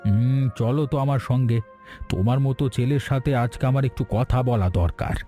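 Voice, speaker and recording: A man acts out a rich, generous gentleman in a cartoon folk tale. He is warm and benevolent, with a mellow voice over faint music. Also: medium pitch, normal speed, natural voice. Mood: kindly. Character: kindly, generous wealthy gentleman. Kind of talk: Bengali cartoon fairy-tale voice acting.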